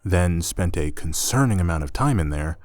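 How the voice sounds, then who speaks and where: A man's voice: deep voice, animated, normal speed, darkish tone, no room sound. A man playing an easygoing, teasing, slightly flustered roommate in a conversational ASMR roleplay. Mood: casual teasing. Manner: casual, hesitant, self-correcting, dry teasing.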